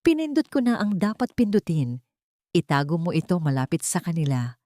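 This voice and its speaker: Woman acting as elderly loyal friend, determined, urgent warnings, low voice, dry audio-story sound. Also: sing-song, brisk, dark tone, no echo. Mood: earnest, conspiratorial.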